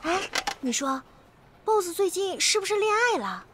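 A woman's voice: very high voice, very animated, unhurried, a bit bright, exaggerated voice. A woman playing a gossipy office assistant with a conspiratorial manner in an audio drama.

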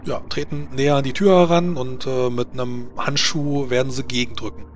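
A man's voice: mid-range voice, steady tone, brisk. A man running a tabletop role-playing game as game master, narrating scenes and rulings to his players. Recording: noisy background with room ambience.

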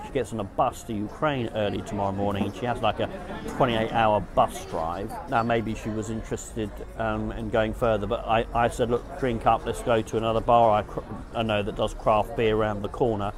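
A man's voice: lowish voice, flat delivery, moderate pace, a crowd in the background. A middle-aged man telling a dating story straight to camera outdoors, rambling and frank, often restarting his sentences. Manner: rambling, frank, self-correcting. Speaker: dating vlogger. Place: outdoors beside a garden hedge in town.

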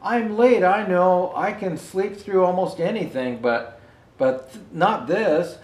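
A sleepy, slightly wounded bear from a children's story, read by an older man. He talks slowly and defensively in an echoey room. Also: high voice, a little mellow. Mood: apologetic. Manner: drowsy, defensive. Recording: roomy indoor echo.

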